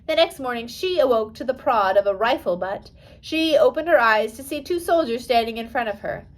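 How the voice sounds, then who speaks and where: A woman's voice: on the high side, expressive, brisk, poor audio. A woman narrating a storybook as an audiobook reader, carefully reading aloud with an occasional stumble over the text.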